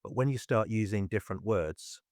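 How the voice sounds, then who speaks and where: Male podcast host, very deep, halting with fillers, dry studio sound. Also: darkish tone, dry recording. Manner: hesitant, many fillers and restarts. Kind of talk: casual conversational podcast.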